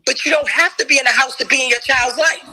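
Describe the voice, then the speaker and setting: A woman's voice: sits high, fast, soft background music. A woman arguing in a heated debate, emphatic and challenging, and losing her voice. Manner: forceful, emphatic, challenging questions.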